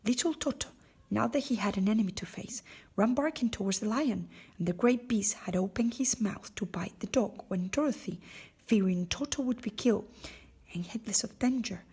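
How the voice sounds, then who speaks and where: A woman's voice: medium pitch, animated, moderate pace, darkish tone, slightly rough. A woman narrating a children's storybook from a script with expression. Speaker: storybook reader.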